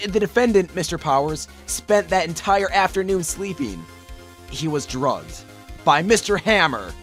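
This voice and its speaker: Casting: male actor as young defense attorney for a game fandub, high voice, earnest, declamatory, music underneath. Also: animated, unhurried. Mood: assertive. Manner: declamatory courtroom argument. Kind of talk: amateur anime-game fandub acting.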